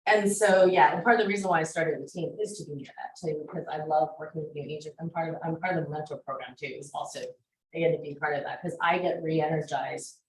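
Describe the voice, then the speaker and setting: A woman teaching a real estate class, chatty and energetic, with many fillers. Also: deep voice, fast talker. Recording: roomy classroom echo.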